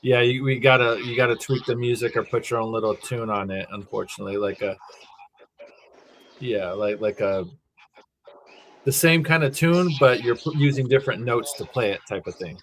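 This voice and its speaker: A man's voice: medium pitch, moderate pace, a little hiss or hum. A man co-hosting a casual watch-party livestream, easygoing and filler-heavy as he reads out chat.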